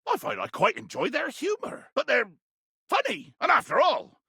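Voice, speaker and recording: An actor playing a tiny, fierce, pompous pirate captain in a video game, with a raspy, gravelly voice and grandiloquent, blustering delivery. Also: male voice, extremely high pitch, wildly swooping pitch, normal speed, sharp tone, no echo, clean studio sound, exaggerated voice. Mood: jovial. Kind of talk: theatrical video game character acting.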